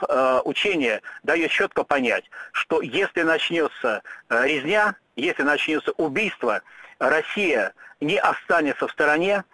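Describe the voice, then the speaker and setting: A man answering questions in a radio interview, speaking in firm, emphatic statements broken by frequent "uh" pauses. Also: on the high side, pushed and bright, no echo, low-quality recording.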